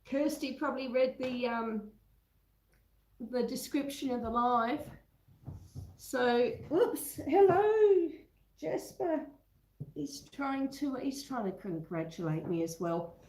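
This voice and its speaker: A woman's voice: sits high, a little mellow, echoey room, rough recording. An Australian woman hosting a homesteading livestream, chatting casually with viewers and answering their comments, her nose still a little blocked up. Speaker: homesteading channel host. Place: indoors at home in a roomy space.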